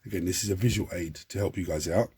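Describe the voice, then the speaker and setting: This is a man talking through a hobby build at his desk. His voice is low and badly hoarse, rough and croaky from a lingering cold. He chats loosely, restarting and repeating words as he goes. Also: fast talker, a little mellow. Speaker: hobby channel host. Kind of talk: model-building tutorial talk.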